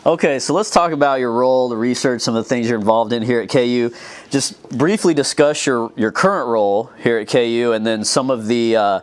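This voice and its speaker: A man's voice: mid-range voice, fairly quick. A man hosting an interview in a studio, reading a scripted introduction and then joking with his guest. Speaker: host, professor. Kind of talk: interview host.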